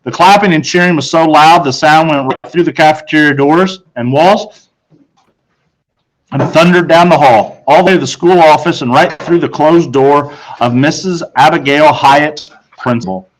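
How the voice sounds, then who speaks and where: A man who is a teacher, narrating a children's book aloud with expressive storytelling. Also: a little high, normal speed, slight background noise, rough recording.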